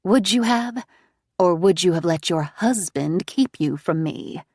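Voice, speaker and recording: A woman's voice: medium pitch, very animated, moderate pace, dry recording, studio quality. Woman playing a crooning, manipulative mother. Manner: crooning, wheedling. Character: manipulative, self-pitying middle-aged mother who croons.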